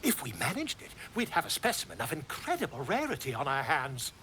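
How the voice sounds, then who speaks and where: A man's voice: on the high side, huge pitch swings, brisk, bright, forceful tone, slight background noise, exaggerated voice. A man voicing a pompous, theatrical bard and scholar in a fantasy game, with florid, verbose delivery. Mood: intrigued, self-assured. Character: flamboyant, pompous bard and self-styled scholar, grandly theatrical.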